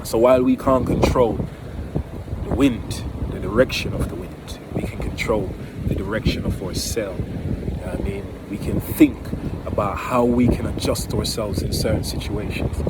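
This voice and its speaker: A man's voice: on the low side, animated, normal speed. A man with a Jamaican accent giving an earnest, emphatic, informal motivational talk outdoors, repeating key phrases. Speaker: motivational vlogger. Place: outdoors near home by the water. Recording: very noisy outdoors, wind on the microphone.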